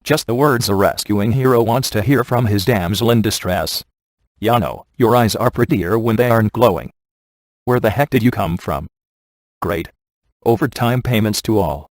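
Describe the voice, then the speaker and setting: Male actor as sardonic ghost hunter, lowish voice, dry studio sound, cocky flirt, glib video game one-liners. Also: moderate pace, no room sound, natural voice. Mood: flirty, wry. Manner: dry, sarcastic, glib delivery.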